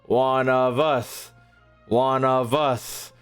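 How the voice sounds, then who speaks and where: A man's voice: mid-range voice, fairly even intonation, very slow, smooth. A man streaming a game with casual, slangy reaction commentary, swearing freely and heckling in mock outrage. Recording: faint game music behind the voice.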